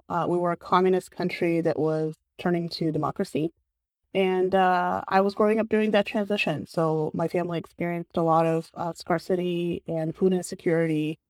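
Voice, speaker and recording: Bulgarian-born woman chatting earnestly, lowish voice, dry close sound. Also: a little mellow, no room sound. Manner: earnest, many fillers like "like". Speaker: guest. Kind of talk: casual interview talk. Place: San Francisco.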